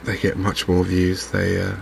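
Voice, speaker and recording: A man hosting a gaming video in a softly spoken ASMR commentary style, unhurried and hesitant, musing to himself. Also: low voice, completely flat delivery, gravelly, slight background noise.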